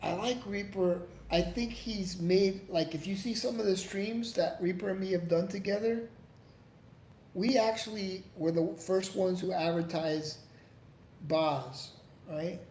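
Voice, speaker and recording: A man's voice: sits high, steady tone, a little slow, a little mellow. A man hosting a casual livestream, answering viewer comments in a rambling, profane way with lots of restarts. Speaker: livestream host. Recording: echoey room with faint background noise.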